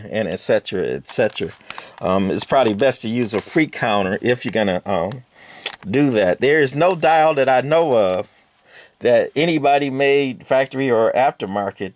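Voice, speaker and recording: Relaxed man explaining radio parts. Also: medium pitch. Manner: relaxed, explanatory, many fillers, talks to the parts. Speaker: radio repair hobbyist host. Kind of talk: casual hands-on equipment walkthrough. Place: workbench, indoors.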